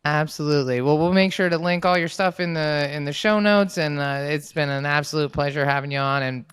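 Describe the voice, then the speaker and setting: A woman hosting a podcast, telling stories in animated casual chat and swearing freely. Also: sits low, a little fast, smooth, no room sound. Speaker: podcast host.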